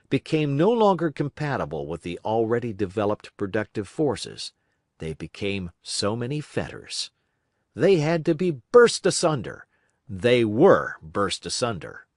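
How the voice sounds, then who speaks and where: Emphatic male reader with lively pitch. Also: dry recording, studio quality. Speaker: audiobook reader. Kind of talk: scripted narration, reading a political text aloud.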